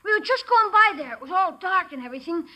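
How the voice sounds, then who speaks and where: A performer playing an excitable, frightened young American schoolboy in a radio sitcom, speaking insistently. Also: very high voice, fast talker, full character voice. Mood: frightened, excited.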